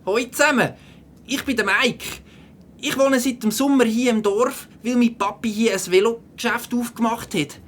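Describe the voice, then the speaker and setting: Casting: woman as relaxed, friendly schoolboy, Swiss German, for a children's audio story, slight noise behind. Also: fairly high pitch, normal speed, natural voice. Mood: casual, introducing himself. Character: Mike, laid-back friendly new schoolboy. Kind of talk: children's audio play acting.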